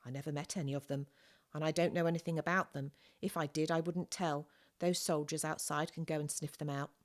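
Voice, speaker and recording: A woman's voice: deep voice, fairly even intonation, brisk, close to an everyday voice. Sullen young orphan boy, female narrator acting, blunt, cheeky, defiant. Audiobook dialogue.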